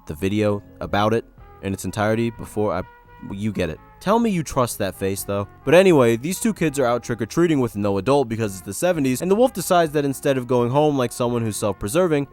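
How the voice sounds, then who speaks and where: Fast-talking man with dry sarcasm. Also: medium pitch, a little mellow. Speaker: video essayist, host. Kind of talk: casual scripted commentary with joking asides.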